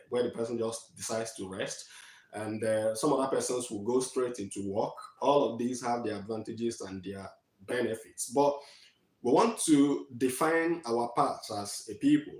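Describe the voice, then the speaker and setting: A man from Nigeria in his thirties giving a measured online talk from slides to students, with frequent fillers. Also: medium pitch, darkish tone, echoey room. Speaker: guest speaker. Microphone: video call.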